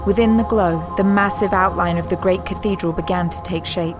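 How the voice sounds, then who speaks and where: Lowish-voiced woman narrator over music. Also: fairly even intonation, brisk. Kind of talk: scripted dramatic story narration.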